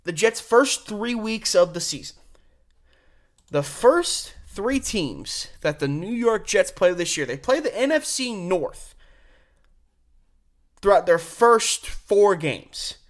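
Male sports podcast host: conversational solo commentary, opinionated delivery, leans hard on key words with deliberate pauses. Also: high voice, very animated.

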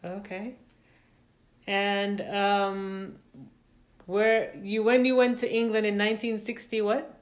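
A woman's voice: medium pitch, flat delivery, slow pace, long pauses. A woman hosting a podcast and interviewing a guest, often rephrasing her questions and using fillers. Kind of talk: casual interview.

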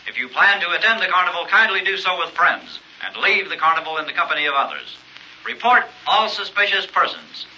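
Old-time radio news announcer, very bright sharp high voice, formal, muffled n a bit hissy. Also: male voice, lively intonation, brisk, full character voice. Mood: grave, urgent.

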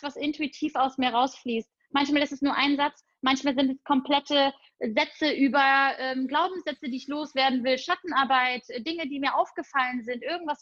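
High-voiced chatty woman, dry muffled sound. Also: fairly bright tone, no echo. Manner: enthusiastic, spiritual, chatty with fillers. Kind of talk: casual conversation.

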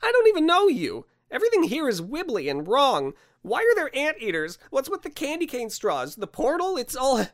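A man's voice: very high voice, huge pitch swings, normal speed, hardly any pauses, no room sound, studio quality, voice pushed up, full character voice. A man voicing a fussy, anxious office worker in a story, whiny, indignant and flustered. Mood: incredulous.

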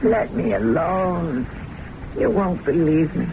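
A woman's voice: on the low side, lively intonation, takes it slowly, gravelly, full character voice. Through a muffled, hissy old radio recording comes an intense, brooding secretary. She is a weary, lovelorn woman who trusts nobody, voiced in classic radio drama style. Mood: withdrawn, defensive.

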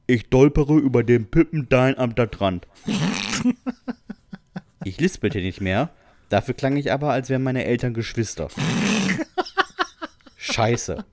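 A man's voice: fairly low pitch, dry recording. A man co-hosting a podcast, reading out listener stories in an amused, informal way. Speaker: podcast co-host.

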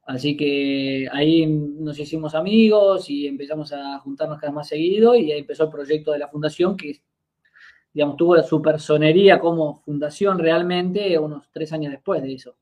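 A young Argentine man in his twenties with a voice pitched a little high for a man. He talks as a podcast guest, telling a long story casually. He stops and restarts often, leaning on fillers as he gathers his thoughts. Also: fairly even intonation, normal speed, a little mellow. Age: 20s. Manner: hesitant, many fillers and restarts while recounting.

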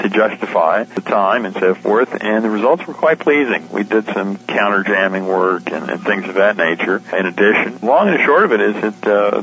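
A man's voice: on the low side, a bit bright, a little hiss or hum. A man speaking candidly and colloquially as a guest in an informal radio interview. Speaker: editor and publisher, interview guest. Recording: dull, lo-fi radio interview recording.

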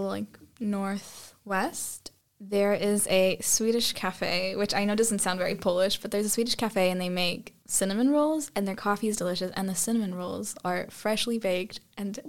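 Upbeat woman podcast co-host. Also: mid-range voice. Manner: enthusiastic, upbeat recommending. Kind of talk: casual group podcast chat.

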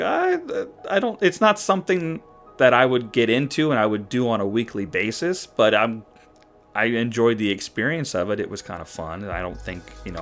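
A man who is a guest on a podcast, telling stories in a rambling, halting way. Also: medium pitch. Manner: rambling storyteller, halting restarts. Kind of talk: casual podcast chat.

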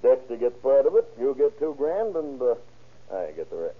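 Smug crooked insurance man plotting a scam, husky voice, old crackly radio drama sound. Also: medium pitch, sing-song, moderate pace. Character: scheming, self-assured male insurance swindler, crooked adjuster. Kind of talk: 1930s-40s radio crime drama acting. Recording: muffled, scratchy old-time radio recording.

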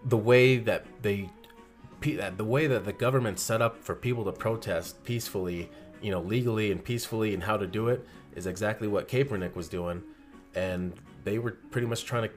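A man co-hosting a casual podcast, explaining his point in an earnest, steady way. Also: lowish voice, brisk, faint music behind. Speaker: podcast co-host.

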